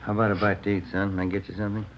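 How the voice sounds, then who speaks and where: An actor playing a practical, kindly police detective in a 1950s radio crime drama, casual and plain-spoken with an American accent. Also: male voice, on the low side, flat delivery, very fast, natural voice. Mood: gently probing. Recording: dull, noisy vintage radio recording.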